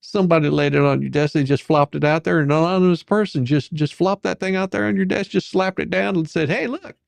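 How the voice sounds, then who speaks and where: A performer reading the part of a sarcastic, persistent attorney questioning a witness in a dramatic deposition reading, with probing, halting, repetitive phrasing. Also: medium pitch, creaky, no echo. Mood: mocking.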